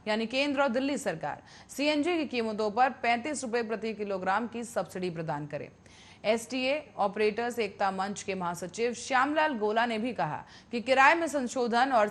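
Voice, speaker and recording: High-pitched woman reading the news. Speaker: news anchor.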